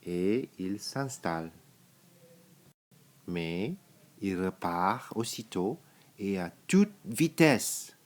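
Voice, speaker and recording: A man reading a children's picture book aloud, in deliberate, simple phrases with pauses. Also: low-pitched, very animated. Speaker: storybook reader.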